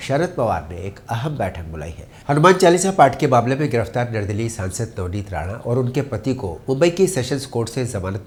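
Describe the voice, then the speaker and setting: A man's voice: medium pitch, darkish tone. A man reading scripted news as an anchor, in a formal and emphatic manner.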